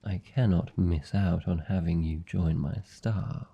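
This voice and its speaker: A man's voice: low voice, steady tone, vocal fry, mellow, slightly rough. A British man playing a suave, seductive vampire lord in a fantasy ASMR roleplay, speaking formally and teasingly with commanding, predatory politeness. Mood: pleased, intrigued. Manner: teasing, formal, silky and deliberate. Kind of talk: fantasy ASMR roleplay, slow intimate monologue.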